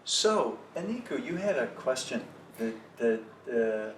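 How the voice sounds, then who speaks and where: A man's voice: medium pitch, sing-song, unhurried. A man hosting a casual, reflective group conversation in a thoughtful, hesitant way. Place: cafe tea house. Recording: echoey room, slightly noisy, distant mic.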